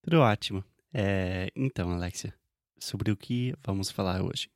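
An American man, a native English speaker, co-hosting a casual podcast and speaking Portuguese as a learner, relaxed and thinking aloud with fillers. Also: low voice, normal speed, no echo, studio quality. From United States. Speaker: podcast co-host, English teacher.

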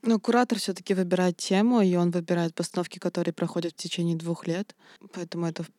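A woman's voice: lowish voice, flat delivery, quick pace, warm tone, dry recording, studio quality. A woman theatre producer speaking candidly and thoughtfully in a casual podcast discussion, laughing now and then.

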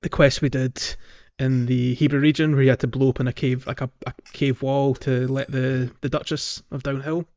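A man's voice: mid-range voice, flat delivery, moderate pace, few pauses, darkish tone. Dull-sounding man explaining a game, colloquial British phrasing. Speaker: podcast host. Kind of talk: casual video game walkthrough chat.

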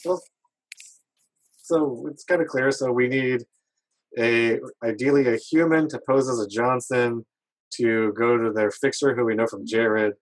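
A man's voice: mid-range voice, fairly even intonation, unhurried, no room sound, rough recording. A man playing a tabletop game over a call, rambling through plans with many fillers. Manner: rambling, hesitant, full of likes. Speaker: player. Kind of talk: casual chat while planning in a tabletop game.

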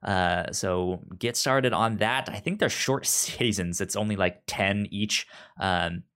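A man's voice: on the low side, slow, a bit bright. A man co-hosting a podcast in casual chat, informal and speaking straight to the listeners. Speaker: podcast co-host.

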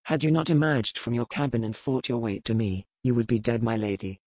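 An actor playing a brooding noble pirate captain in an audiobook, speaking in measured, formal old-fashioned phrasing. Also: male voice, medium pitch, a little mellow, no room sound, studio quality, close to an everyday voice. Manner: measured, cold, formal period speech. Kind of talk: audiobook character reading.

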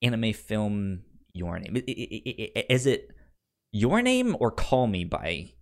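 A man's voice: fairly low pitch, big pitch swings, slow. A man co-hosting a podcast in casual film discussion, stammering and repeating syllables and words as he talks. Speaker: podcast co-host.

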